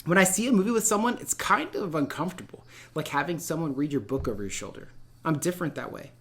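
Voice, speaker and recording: A man teaching literature in a read-aloud lesson, speaking in an expressive, informal and encouraging way. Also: a little high, quick pace. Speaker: middle school literature teacher. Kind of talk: read-aloud lesson, narrating a novel and addressing students.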